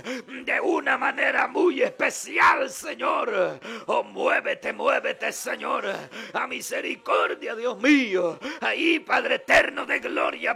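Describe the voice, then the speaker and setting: A man leading a fervent prayer for healing, repeating short invocations between phrases and building up to shouted declarations. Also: very high-pitched, gravelly, husky.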